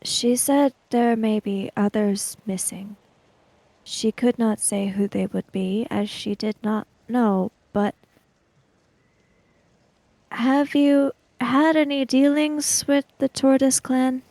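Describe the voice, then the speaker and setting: A woman playing a tabletop roleplaying game, speaking improvised dialogue in a measured, deliberate way with halting phrases. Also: fairly high pitch, fairly even intonation, dark tone, no echo.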